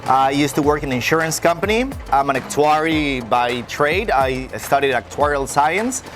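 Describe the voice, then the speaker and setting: A man's voice: fairly high pitch, moderate pace, fairly bright tone, soft background music. Mexican man with an American-sounding accent. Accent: American-sounding English. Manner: friendly, explanatory, with frequent "uh" fillers. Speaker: real estate broker guest. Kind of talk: interview answers.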